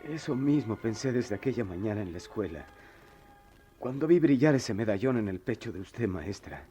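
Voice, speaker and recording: An actor playing a troubled, proud, remorseful working-class former pupil in a radio soap, with a Mexican accent and halting, colloquial speech. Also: male voice, mid-range voice, a little mellow, breathy edge. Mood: confessional, subdued. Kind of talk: melodramatic radio soap acting.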